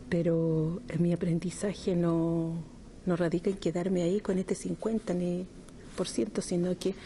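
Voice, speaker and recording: A middle-aged woman from Chile, a poet answering interview questions slowly and thoughtfully, with frequent hesitations. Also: fairly low pitch, warm tone, a little hiss or hum.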